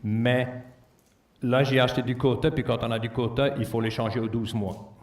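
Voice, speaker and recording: A farmer, a man whose first language is not French, answering audience questions in a plain, halting way. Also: medium pitch, little pitch movement. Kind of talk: Q&A at a conference. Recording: echoey hall, distant mic.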